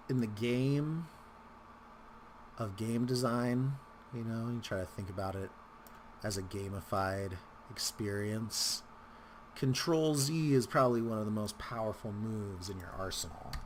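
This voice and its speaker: A man hosting a casual software tutorial, talking in a rambling, informal way with wry asides. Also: medium pitch, a little slow, a little hiss or hum. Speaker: tutorial host.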